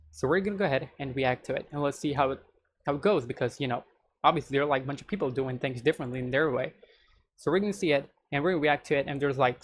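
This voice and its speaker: A man's voice: mid-range voice, slight background noise. Young Indian man chatting. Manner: rambling, repeats "so the thing is". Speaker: YouTuber reacting to a video. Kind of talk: casual reaction commentary.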